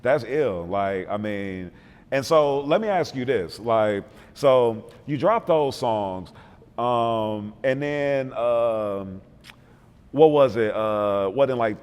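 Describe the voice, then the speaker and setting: A man interviewing a guest in a relaxed way, agreeing often and talking in a loose, filler-heavy flow. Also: medium pitch, slow, clear, resonant tone, in a large hall. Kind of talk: casual interview talk.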